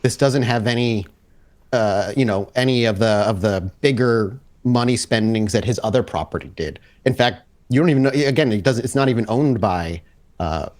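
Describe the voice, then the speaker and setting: A man working as game master, improvising narration casually and haltingly as he thinks aloud. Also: medium pitch, darkish tone.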